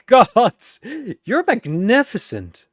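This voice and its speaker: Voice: medium pitch, huge pitch swings, extremely slow, no room sound, studio quality, pitched above the performer's own voice, heavily acted. A narrator voicing a suave, teasing male vampire rogue with a silky, amused, flirtatious manner in an audio story. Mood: amused admiration.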